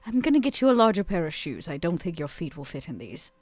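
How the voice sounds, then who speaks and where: A woman playing a tabletop roleplaying game, improvising dialogue and casual narration in a playful, animated way. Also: fairly low pitch, fast talker, no echo.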